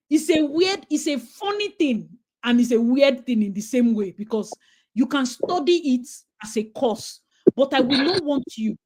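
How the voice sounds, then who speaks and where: A Nigerian woman with a Nigerian accent, chatting animatedly and slipping into pidgin as she tells stories. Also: high voice. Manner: animated storyteller, slips into pidgin. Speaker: host. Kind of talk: casual chat.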